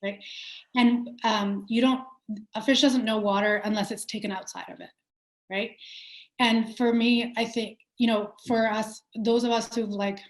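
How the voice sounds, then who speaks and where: A woman's voice: on the high side, fairly even intonation, unhurried. A young adult woman from Pakistan, a company founder answering interview questions in a thoughtful way, with many fillers and restarts. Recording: roomy echo.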